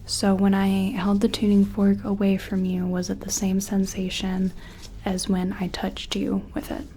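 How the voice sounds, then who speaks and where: A woman performing a gentle ASMR roleplay as an examiner, soft-spoken and often whispering, explaining things in a tentative, clinical way. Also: mid-range voice, monotone, measured pace, a little hiss or hum.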